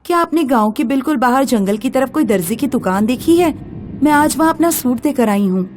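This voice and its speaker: A woman playing an earnest young village wife, easily frightened yet resolute, in an animated horror story. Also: sits high, a little fast, faint music behind.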